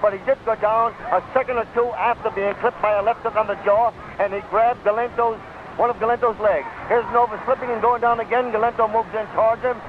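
A man's voice: high voice, fairly even intonation, a little fast. An American man giving live blow-by-blow boxing commentary in the style of 1930s radio. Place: ringside at an outdoor stadium. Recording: tinny, noisy 1939 radio broadcast recording.

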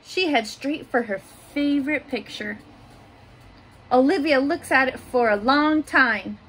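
A woman in her thirties reading a children's picture book aloud in simple, deliberate phrases, adding little asides for young listeners. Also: high-pitched, fairly bright tone, rough recording. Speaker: school staff member reading aloud to pupils. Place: small home office room, indoors. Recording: noticeable room noise behind voice.

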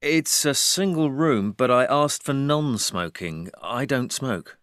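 A man's voice: mid-range voice, animated, slow pace, fairly bright tone, no room sound. A British man voicing a hotel guest checking in, in a language-course dialogue, plain and matter-of-fact.

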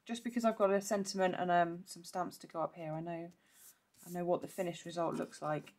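A woman with a croaky voice, chatting through a step-by-step card-making tutorial and clearing her throat now and then. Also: fairly low pitch, steady tone, a little fast, a little mellow. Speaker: papercraft demonstrator. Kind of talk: casual step-by-step craft tutorial.

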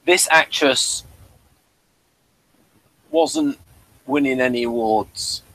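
Male review host, mid-pitched, hesitant and rambling, informal, dry recording. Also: huge pitch swings, very slow, gravelly, bright, forceful tone, no echo, low-quality recording. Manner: hesitant, rambling, addresses listeners. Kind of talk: casual episode review chat.

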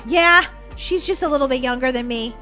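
Woman as very high-pitched excitable little girl. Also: a little fast, soft background music. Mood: eager, pleading. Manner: exclamatory, animated. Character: excitable, cheeky, sweet little orphan girl. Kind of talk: children's pretend-play story acting.

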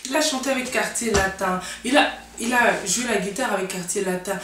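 A woman's voice: mid-range voice, fairly quick, noisy background. A young woman hosting an interview on a sofa in a living-room set, introducing her guest enthusiastically and prompting him with questions. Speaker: talk show host. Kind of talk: hosting an interview in French mixed with Lingala. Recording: echoey room, mic at a distance.

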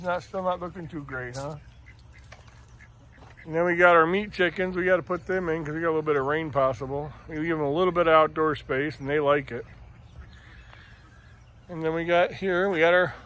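A man's voice: fairly high pitch, fairly even intonation, normal speed, fairly bright tone. A middle-aged American man casually narrates farm chores in a folksy, chatty, unscripted way. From Michigan, United States. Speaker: farm vlogger. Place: open barn and farmyard. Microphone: handheld phone camera. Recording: noisy handheld farm recording with poultry. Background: clucking chickens, birds, outdoor ambience.

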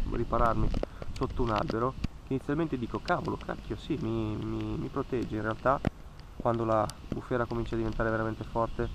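Earnest Italian-speaking man, gravelly voice. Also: mid-range voice, background noise. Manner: earnest, cautionary, repeats words for emphasis. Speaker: fishing vlogger. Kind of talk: reflective voice-over narration. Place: outdoors by a lake among trees.

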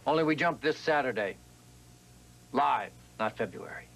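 An actor playing an intense stunt-show promoter in a TV-movie drama, in clipped, emphatic phrases. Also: male voice, lively intonation, moderate pace, a bit bright. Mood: emphatic pitch. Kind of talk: naturalistic TV-movie drama acting. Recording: film dialogue with faint rumble.

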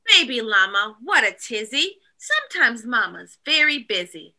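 A woman in an expressive, animated read-aloud style, speaking directly to young children as a teacher would. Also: on the high side, unhurried. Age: adult.

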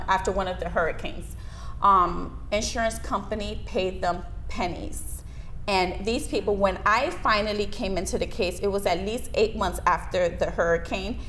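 A woman's voice: medium pitch, fairly bright tone. Woman, noisy studio recording. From Miami, United States. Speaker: interview guest, lawyer. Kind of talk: conversational interview answers. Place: small indoor video studio, seated at desk. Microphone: lapel mic.

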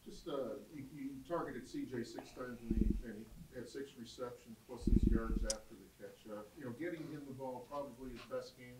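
A man's voice: mid-range voice, a little fast, hardly any pauses. A man working as a reporter, putting casual questions at a press conference from well away from the microphone. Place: press room, off camera. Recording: distant from mic, very noisy, clicks.

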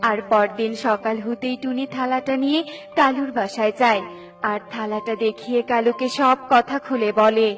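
A woman's voice: fairly high pitch, fairly even intonation, moderate pace, soft background music. A woman narrator reading a scripted children's story.

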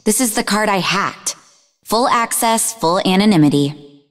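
An actress playing a sarcastic, defensive young woman in a video game, speaking in an expressive, conversational way. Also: mid-range voice, a little slow, long reverb tail, faint music behind, close to an everyday voice. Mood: casual, insistent. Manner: snarky, conversational, emotionally expressive. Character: sarcastic, defensive young woman, idealistic martial-arts student and researcher. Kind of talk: video game character dialogue.

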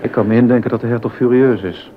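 A man's voice: mid-range voice, fairly even intonation, fast, lightly acted. Man acting a worldly confidant, slightly muffled recording. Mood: sympathetic. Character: baroque musician's worldly, sympathetic confidant, gossipy. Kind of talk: Dutch docudrama dialogue. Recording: dull sound with background noise.

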